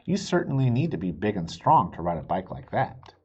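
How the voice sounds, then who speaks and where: A man's voice: on the low side, sing-song, slightly rough, muffled recording. A man voicing a patient, reasonable father of a young girl in a children's storybook. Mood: calm, teasing. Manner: patient, measured.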